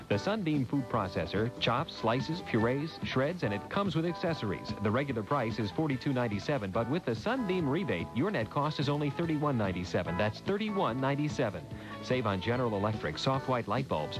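Lively male retail ad announcer. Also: mid-range voice, low-quality recording. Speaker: commercial announcer. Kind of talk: 1980s retail radio commercial, scripted read. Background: music.

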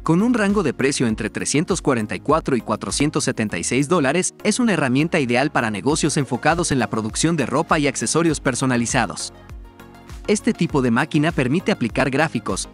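A man narrating a scripted promotional explainer in a measured, formal read-out manner. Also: medium pitch. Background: background music.

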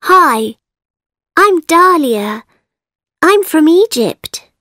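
A woman voicing children in a textbook dialogue for young learners, speaking carefully and clearly. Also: very high voice, very animated, drawn-out words, pushed and bright, no room sound, studio quality, full character voice. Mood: cheerful. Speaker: textbook audio voice actor.

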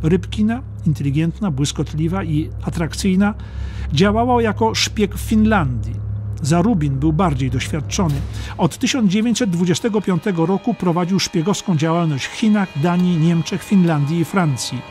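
A man narrating a historical audiobook from a script in a deliberate, dramatic storytelling way. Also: high-pitched, expressive, a little mellow, soft background music.

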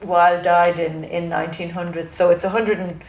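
A middle-aged woman asking interview questions in a measured way, with soft fillers. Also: fairly low pitch, level tone, creaky. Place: studio set, indoors. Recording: roomy echo.

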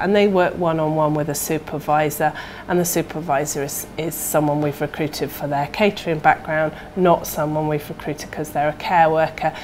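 A British woman speaks about her work in an interview, her voice on the low side. She wears a lapel mic in a small indoor room, with some background noise audible. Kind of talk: interview testimonial.

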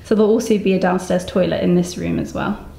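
A woman's voice: fairly low pitch, steady tone, fast talker, creaky, warm tone. Enthusiastic English woman vlogging in echoey space. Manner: enthusiastic, gushing. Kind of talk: casual vlog tour, chatty. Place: home room, car, brick barn and yard. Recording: echoey rooms, noticeable background noise.

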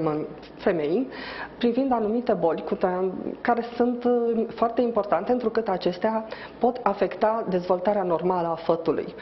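Calm middle-aged woman doctor, dull broadcast sound. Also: medium pitch. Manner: calm, measured, explanatory with frequent hesitations. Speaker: obstetrician-gynecologist guest. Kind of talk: explaining in a TV interview. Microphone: lapel mic. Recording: dull, slightly noisy broadcast audio.

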